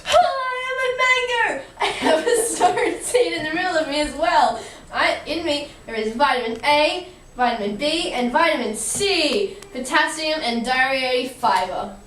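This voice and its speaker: Young female student presenter, high-pitched, school show delivery, distant mic, faint noise. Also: normal speed, a bit bright. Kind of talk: amateur school puppet show. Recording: roomy, noisy, distant amateur recording.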